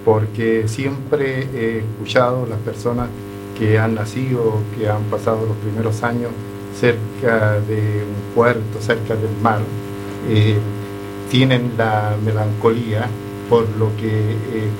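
An older man from Chile with a Chilean accent, speaking as a panel guest in a measured, hesitant way full of fillers. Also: fairly low pitch, flat delivery, background noise. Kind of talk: reflective conversation. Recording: music playing underneath.

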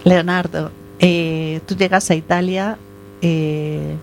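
A woman's voice: lowish voice, steady tone, slow, vocal fry, faint music behind. A woman hosting a panel, who asks her guests questions and encourages them. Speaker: host. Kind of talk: interviewing.

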